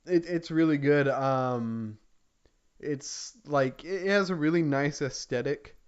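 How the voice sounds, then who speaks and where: Smooth-voiced man, slightly dull lo-fi sound. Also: a little high, slow pace. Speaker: podcast co-host. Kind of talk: casual gaming chat.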